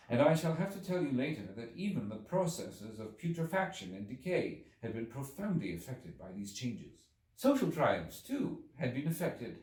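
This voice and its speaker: A man reading a literary story aloud as a narrator, with measured, dramatic and deliberate phrasing. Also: mid-range voice, a little mellow, distant mic. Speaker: audiobook narrator. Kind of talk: expressive literary audiobook narration. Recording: roomy, echoing, away from the mic.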